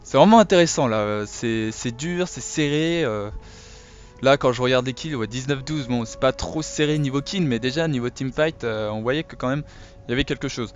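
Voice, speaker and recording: Hoarse french guy talking thru his game, lots of euh, faint music behind. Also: mid-range voice, moderate pace, highs cut off. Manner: relaxed, hesitant with many "euh". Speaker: gamer commentating his play. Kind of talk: casual let's play commentary.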